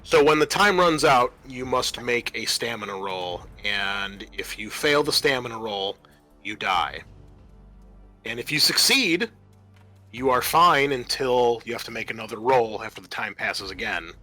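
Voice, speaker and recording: Bright-voiced man running a tabletop game. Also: mid-range voice, expressive, a little slow. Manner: methodical, explanatory. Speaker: game master. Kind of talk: explaining tabletop game rules to players. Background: music.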